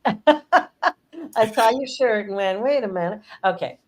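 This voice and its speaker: A middle-aged woman hosting a casual interview chat, effusive and quick to laugh. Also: a little high, animated. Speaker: host and spiritual director.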